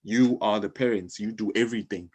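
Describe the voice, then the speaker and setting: A South African man speaks as a guest in a relaxed-format interview. His voice sits on the low side, and he explains his work conversationally, reaching for everyday examples. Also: a little fast, slightly rough. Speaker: interview guest, music publishing company co-founder. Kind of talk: casual interview talk.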